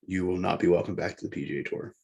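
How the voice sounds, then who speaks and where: A man's voice: deep voice, completely flat delivery, quick pace, creaky, a little mellow, slightly rough. A man co-hosting a casual sports discussion, explaining things in a matter-of-fact way. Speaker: sports podcast co-host.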